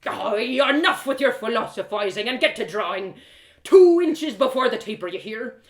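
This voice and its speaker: Woman putting on a blustering gruff old blacksmith. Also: a little high, animated, normal speed, reverberant, voice pushed up, natural voice. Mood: scolding, blustering. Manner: rustic dialect, blustering exclamations. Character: gruff, stocky, red-bearded old male blacksmith, scolding yet genial. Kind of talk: storybook character voice.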